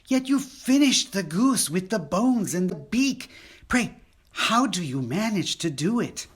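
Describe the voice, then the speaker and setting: A man's voice: high-pitched, big pitch swings, measured pace, voice pushed up. A man voicing a cheeky, inquisitive young son who pesters his father, in a theatrical reading of children's poetry. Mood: cheeky, curious.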